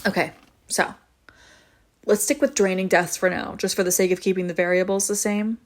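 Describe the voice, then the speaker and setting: A woman's voice: medium pitch, fairly even intonation, moderate pace, natural voice. Wry, teasing young vampire woman, acted by a woman. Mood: curious, clinical. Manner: casual, wry, teasing, swearing freely. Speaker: audio roleplay voice actor. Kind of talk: intimate scripted audio roleplay, conversational.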